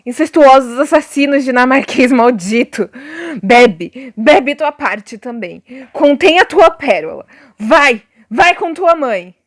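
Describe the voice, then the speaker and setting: A woman's voice: sits high, animated, slow pace, no room sound. A woman playing an anguished, vengeful young prince in declamatory radio drama style. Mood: furious. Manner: declamatory, exclaiming. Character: anguished, furious young prince avenging betrayal, then dying. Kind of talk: melodramatic radio drama acting of a stage tragedy.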